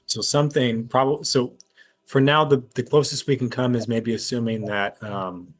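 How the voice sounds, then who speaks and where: A man's voice: medium pitch, steady tone, normal speed. A man talking casually and analytically as he works through a mystery at length as a tabletop game player. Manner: analytical, reasons aloud at length.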